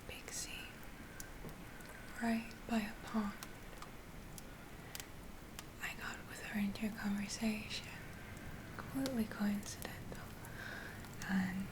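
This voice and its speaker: Casting: woman for ASMR witch healer roleplay, gentle whisper, even and soothing delivery. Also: mid-range voice. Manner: soothing, gentle, lingering on words. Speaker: ASMR performer playing a healer.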